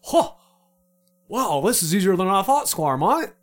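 A man's voice: high voice, big pitch swings, unhurried, voice pushed up. A man playing a pompous drunken knight. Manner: grandly pompous phrasing that lapses into casual slang. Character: drunken, dramatic male knight.

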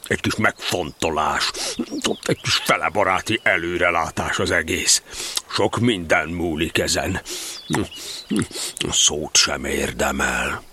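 A man's voice: sits low, sharp tone, a little hiss or hum. A man playing a gloomy, sardonic donkey in a children's audio drama, grumbling and lecturing morosely.

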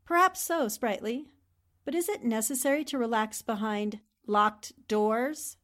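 A woman playing a composed, shrewd newspaperwoman in a 1940s-style radio drama, speaking in a polite, measured way. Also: on the high side, a bit bright. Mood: suspicious.

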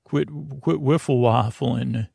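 A middle-aged man hosting a sleep podcast, rambling whimsically and haltingly, often interrupting himself and drifting off topic in a gravelly voice. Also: medium pitch, darkish tone, no room sound, studio quality. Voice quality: gravelly, creaky. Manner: meandering, halting, self-interrupting, digressive. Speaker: podcast host.

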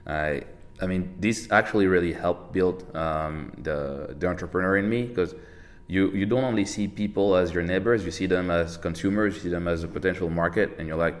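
French man with a very low voice. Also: normal speed. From France, Paris. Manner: relaxed, rambling with many fillers and restarts, laughs easily. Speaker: podcast guest, tech entrepreneur. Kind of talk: casual interview chat.

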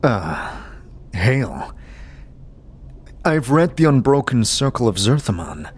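A man's voice: medium pitch, sing-song, takes it slowly, slightly rough, heavily acted. A man playing an amnesiac wanderer in a fantasy drama, earnest and hesitant as he seeks understanding. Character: uncertain amnesiac male wanderer seeking understanding.

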